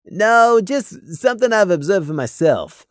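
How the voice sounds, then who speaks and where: A gruff yet caring stallion from a storybook, played by a narrator. The delivery is candid and a bit grumbly, in a middle register that moves about freely. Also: mid-range voice, big pitch swings, unhurried, dry recording, studio quality, voice pushed up. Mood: frank advice. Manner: frank, grumbling, awkwardly candid. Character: gruff but caring male pony companion.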